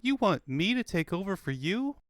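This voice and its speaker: A man voicing an eager, naive little boy cartoon fox sidekick, earnest and excitable. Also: sits high, normal speed, no echo, studio quality, pitched above the performer's own voice. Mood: eager, thrilled.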